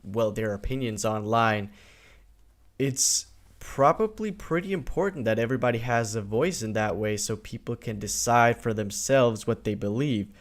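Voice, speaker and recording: A man's voice: mid-range voice, animated, normal speed. A man in his twenties hosting a casual podcast interview, easygoing and agreeable, asking open questions. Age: 20s. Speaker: podcast host.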